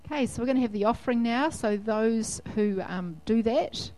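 A woman's voice: fairly high pitch, normal speed, dark tone, low-quality recording. A woman leading a church service, who chats in a friendly way with a guest and leads a prayer.

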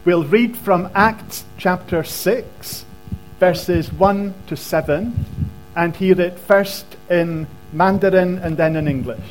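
A man with a notably high voice preaches in short, measured phrases. He pauses after each line so it can be translated, and faint music plays behind him. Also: rough recording. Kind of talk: sermon with interpreter.

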